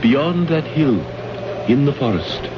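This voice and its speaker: An actor playing an enigmatic alien guide of royal dignity in a vintage radio drama, speaking in formal, reserved, measured phrases. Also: male voice, medium pitch, expressive. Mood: cryptic, polite. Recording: noisy, dull old radio broadcast recording.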